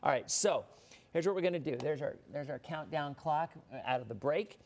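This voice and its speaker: A man's voice: a little high, brisk, a little mellow. A man hosting a live weather show, chatting loosely with a guest and greeting viewers by name. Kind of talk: casual live TV interview chat.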